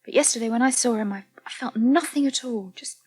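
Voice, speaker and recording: A woman's voice: fairly high pitch, fairly quick, a little mellow, breathy edge. An actress playing a withdrawn, shaken young woman in a naturalistic radio soap, hesitant and subdued. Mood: numb, sad.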